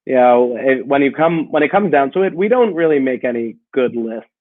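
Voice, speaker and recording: A man's voice: mid-range voice, expressive, moderate pace. A man co-hosting a podcast, making dry sarcastic quips in casual chat. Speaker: podcast co-host. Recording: tinny phone-like call audio.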